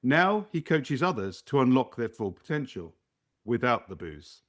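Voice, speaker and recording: A man's voice: mid-range voice, big pitch swings, unhurried. Male podcast host reading an intro. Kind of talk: scripted introduction and interview questions.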